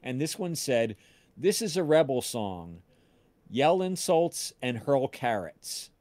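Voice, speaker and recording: A man with a medium-pitched voice tells casual stories on a livestream. He talks in a halting, meandering way, often backing up to rephrase as he searches for the word. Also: big pitch swings, darkish tone, dry recording. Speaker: podcast cast member on a livestream. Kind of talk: casual chat, rambling anecdote.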